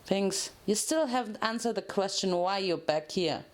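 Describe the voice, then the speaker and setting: A woman's voice: medium pitch, animated, moderate pace, close to an everyday voice. Blunt farm-pony rebel done by a female reader, weary prisoner, impatient, cartoon story. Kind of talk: dramatic fanfiction reading of a cartoon.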